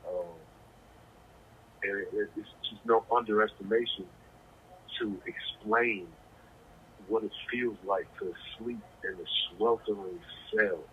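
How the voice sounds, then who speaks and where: A man's voice: fairly low pitch, steady tone, slow, pauses often. A man talking casually over a noisy prison phone line, reflective and plain-spoken, telling anecdotes as an interviewee. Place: correctional facility phone. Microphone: telephone handset.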